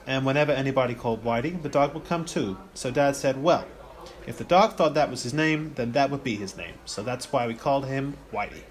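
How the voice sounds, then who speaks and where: An amateur actor playing an awkward, placating college student son in a radio play, hesitant, plain-spoken and apologetic. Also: male voice, medium pitch, close to an everyday voice.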